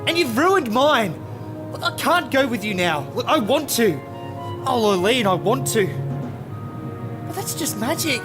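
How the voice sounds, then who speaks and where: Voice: medium pitch, sing-song, measured pace, long pauses, over music. An actor playing a troubled, self-doubting boy adventurer in a fantasy audio drama, speaking with earnest emotion. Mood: resolute. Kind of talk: full-cast fantasy audio drama acting.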